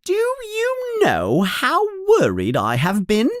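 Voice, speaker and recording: Man voicing a shrill scolding mother. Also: huge pitch swings, takes it slowly, fairly bright tone, slightly rough, dry recording, clean studio sound, full character voice. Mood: angry. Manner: shrill scolding, sudden sweetness to guests. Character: stern short plump mother, scolding, motherly.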